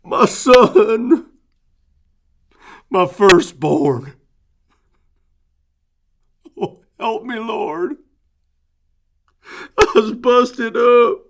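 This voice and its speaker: A man's voice: extremely high pitch, extremely slow, long pauses, pitched above the performer's own voice, exaggerated voice. A man voicing a grieving rural father who speaks in a folksy country dialect, his lament half a prayer.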